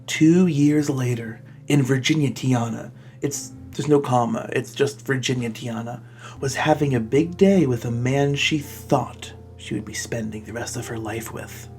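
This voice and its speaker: A man's voice: medium pitch, unhurried, a little mellow, faint music behind. A man hosting a comedy video, reading fan fiction in a theatrical way and breaking off with mock-outraged comments. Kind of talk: dramatic comic reading of fan fiction with exasperated asides.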